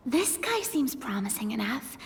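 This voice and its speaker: An actress voicing a flamboyant, eager young female adventurer in an anime-style video game, animated and theatrical. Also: fairly high pitch, moderate pace, vocal fry, slight background noise. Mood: eager, friendly.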